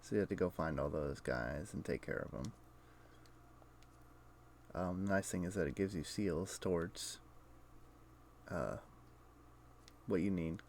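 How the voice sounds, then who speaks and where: Man, slow delivery, creaky voice, casual game streamer, halting explanations, muttered asides. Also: low voice, slight background noise. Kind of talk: casual live gameplay commentary, explaining to viewers.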